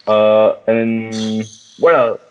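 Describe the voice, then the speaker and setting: A man's voice: on the low side, level tone, drawn-out words, loud music behind the voice. A man chatting casually and enthusiastically about movies as a podcast co-host, swearing freely. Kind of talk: casual movie chat with friends. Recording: thin phone-like, radio-like sound.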